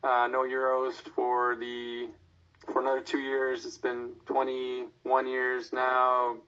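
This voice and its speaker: A man's voice: medium pitch, completely flat delivery, slow, sharp tone, muffled recording. A man hosting a casual sports podcast after a game, sounding drained and dejected. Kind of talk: casual post-game talk.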